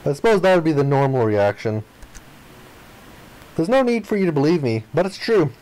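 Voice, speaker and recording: A man reading the narration and dialogue of a visual novel aloud in an expressive style. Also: a little high, moderate pace, low-quality recording.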